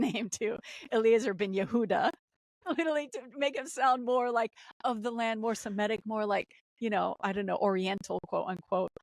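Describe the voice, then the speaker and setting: A woman who also speaks Arabic, giving impassioned political commentary on a podcast, repeating phrases and rising in pitch when indignant. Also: dry recording. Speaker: podcast guest.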